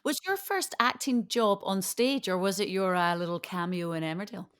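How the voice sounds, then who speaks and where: A woman's voice: medium pitch, moderate pace, clean tone. A woman radio interviewer asking casual, chatty questions.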